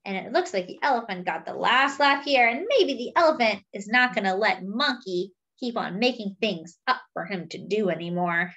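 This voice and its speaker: A woman's voice: mid-range voice, lively intonation, moderate pace, few pauses, bright, forceful tone, highs cut off. A young adult woman hosting a children's storytime, reading a picture book expressively with exclamations and asides that point things out. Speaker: storytime host, reader.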